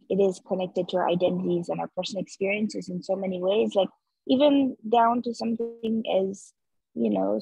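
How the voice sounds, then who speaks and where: Guest: young Nepal-born woman, dark husky tone, earnest and chatty on a dull video call. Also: medium pitch, no room sound. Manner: earnest, many filler likes. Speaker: interview guest, street artist. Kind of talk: casual reflective conversation. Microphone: video call laptop mic.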